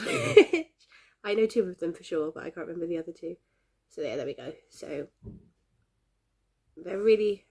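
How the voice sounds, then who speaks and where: A woman's voice: fairly low pitch, a little mellow, breathy edge. A woman with a head cold chatting cheerfully and ramblingly to her viewers in a casual crafting vlog, a little congested and coughy. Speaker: crafting vlogger. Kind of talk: casual chat to camera.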